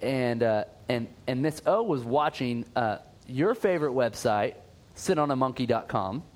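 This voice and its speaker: A male podcast co-host in relaxed, upbeat chat. He talks in loose, rambling runs with frequent fillers and restarts. His voice sits at an ordinary middle pitch. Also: unhurried. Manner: chatty, filler-heavy, upbeat.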